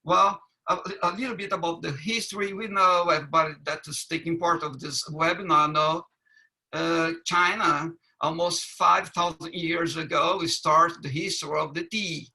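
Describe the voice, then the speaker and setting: A man giving a webinar presentation, halting with many fillers and restarts. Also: a little high, steady tone, sharp tone, low-quality recording. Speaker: business presenter.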